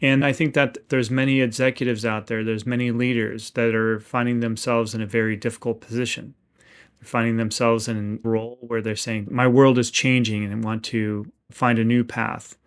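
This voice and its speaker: A man's voice: medium pitch, level tone, moderate pace, clean tone. A man hosting an interview podcast who asks earnest, long-winded questions and often restarts his sentences. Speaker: podcast host.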